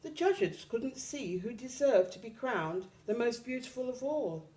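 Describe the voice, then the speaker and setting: Woman, mellow tone, unhurried pace, lively pitch, expressive picture book storytelling. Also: poor audio. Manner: dramatic, deliberate phrasing for young listeners. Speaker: storytime reader.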